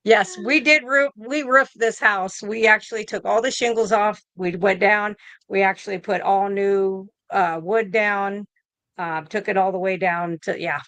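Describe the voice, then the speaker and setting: Relaxed woman in her fifties, dry sound. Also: medium pitch, measured pace, no room sound. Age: 50s. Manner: relaxed, rambling with many fillers and restarts. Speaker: guest nurse. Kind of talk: casual interview over video call.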